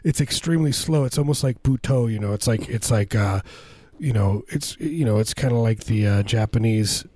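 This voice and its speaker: A man with a hoarse, scratchy voice who is losing it, rambling through absurd comic riffs in casual podcast banter. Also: mid-range voice, normal speed, dark tone, no room sound. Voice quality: husky, scratchy. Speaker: comedy podcast host.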